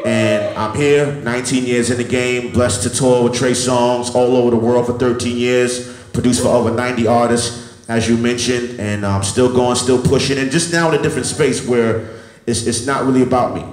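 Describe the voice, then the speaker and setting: A man's voice: medium pitch, steady tone, moderate pace, darkish tone. Motivational man in his thirties, echoey room. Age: 30s. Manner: motivational, repetitive, preacher-like cadence. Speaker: music producer. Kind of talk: telling his life story to a live audience. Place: event hall with an audience.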